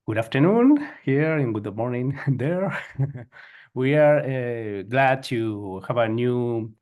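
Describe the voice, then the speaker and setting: A man's voice: medium pitch, lively intonation, slow pace, a little mellow. A man hosting an interview in English, a second language for him, speaking hesitantly with many fillers. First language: Spanish. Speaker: host. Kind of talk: casual interview talk.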